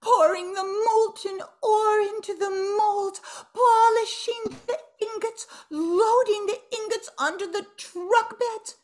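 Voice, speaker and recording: A woman's voice: very high voice, steady tone, takes it slowly. An older woman playing a sweet, naive young granddaughter in a radio melodrama, with theatrical, wailing delivery. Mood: wailing dismay. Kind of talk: old-time radio melodrama acting. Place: at home on a group video call.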